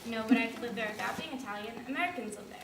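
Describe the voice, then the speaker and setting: A woman playing a fast-talking anxious young woman. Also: fairly high pitch, fairly bright tone. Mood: hopeful. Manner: rapid, pleading, emotional. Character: young American woman, anxious, devoted, pleading. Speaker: stage actress. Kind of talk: stage play performance. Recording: roomy, distant mic, noisy.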